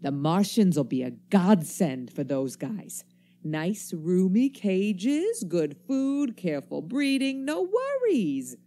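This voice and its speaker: A woman's voice: on the low side, very animated, takes it slowly, warm tone. A woman acting a wary, embittered survivor in a radio play, with folksy, slangy, cynical speech. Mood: scheming, scornful. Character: wary, embittered survivor stranger armed with a knife.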